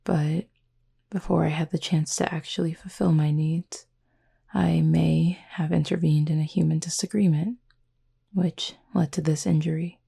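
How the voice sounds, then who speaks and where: Woman playing a low, breathy, gentle cupid roommate. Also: exaggerated voice. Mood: calm, matter-of-fact. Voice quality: soft, breathy, husky. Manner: measured, formal wording, calm and reassuring. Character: gentle, composed horned cupid roommate, tenderly teasing. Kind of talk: intimate roleplay audio, soft-spoken.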